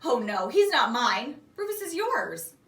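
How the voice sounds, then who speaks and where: A woman's voice: on the high side, sing-song, measured pace, a bit bright. A woman voicing an earnest, matter-of-fact young girl explaining things in a children's story. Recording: echoey room, distant microphone.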